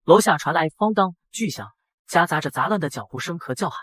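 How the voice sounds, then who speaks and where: Lively, husky male narrator as a brash hero. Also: on the high side, fairly quick, no room sound. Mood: dramatic narrating. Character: male hero-narrator, brash young factory boss telling his story. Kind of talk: dramatic first-person scripted narration.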